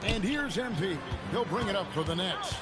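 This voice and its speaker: A man's voice: high voice, big pitch swings, normal speed, over loud music. A man acting as a play-by-play announcer, calling a basketball video game like a TV broadcast. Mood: focused, brisk. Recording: loud basketball arena noise behind. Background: arena ambience and bouncing basketball.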